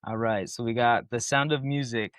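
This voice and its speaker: A man's voice: mid-range voice, fairly even intonation, moderate pace, fairly bright tone, no room sound, studio quality. Laid-back man co-hosting a movie podcast. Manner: laid-back, many fillers like um and like. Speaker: podcast co-host. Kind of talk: casual film podcast chat.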